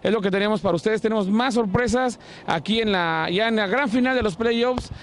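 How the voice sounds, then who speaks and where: Rapid, high-voiced outdoor reporter. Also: male voice, slight background noise. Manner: energetic, rapid delivery. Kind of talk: upbeat on-location presenting. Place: outdoors at a racetrack. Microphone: handheld mic.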